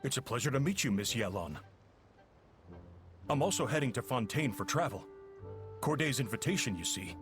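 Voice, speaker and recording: A man's voice: mid-range voice, lively intonation, moderate pace, pauses often, soft background music, close to an everyday voice. Guy doing a posh-mannered pompous ship owner in a game, super courtly, voice goes up and down a lot. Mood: ingratiating, boastful. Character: pompous gentleman shipowner putting on courtly charm. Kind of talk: video game character acting.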